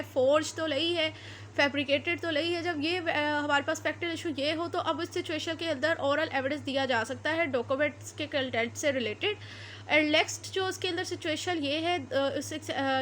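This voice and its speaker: A woman's voice: high-pitched, fairly bright tone, slight background noise. A woman with a Pakistani accent giving an explanatory law lecture, repeating and rephrasing her points as she goes. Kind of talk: explanatory lecture mixing Urdu and English.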